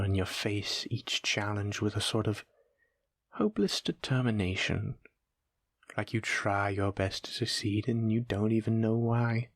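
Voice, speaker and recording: Man playing a gleeful, low-voiced taunting monster. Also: dry recording, clean studio sound. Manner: gleeful, mocking, theatrical, leisurely musing. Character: taunting sadistic centuries-old male monster keeping a human pet. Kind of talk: scripted horror audio roleplay addressed to the listener.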